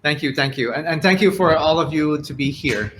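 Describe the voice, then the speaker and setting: A man's voice: fairly high pitch, a little fast, a bit bright, slight background noise. A man from Hong Kong hosting a live panel discussion, introducing guests with many fillers and repeated words. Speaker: host, moderator.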